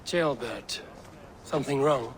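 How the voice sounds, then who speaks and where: A man playing a steady, blunt Viking warrior leader in a video game cutscene. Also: mid-range voice, slow pace, slight background noise, close to an everyday voice. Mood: grave, firm.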